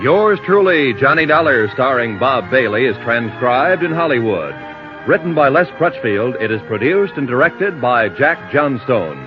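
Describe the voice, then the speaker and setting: A man's voice: mid-range voice, very animated, normal speed. A man reading the closing credits as a radio announcer in a polished, formal delivery. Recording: muffled 1950s radio transcription with faint music.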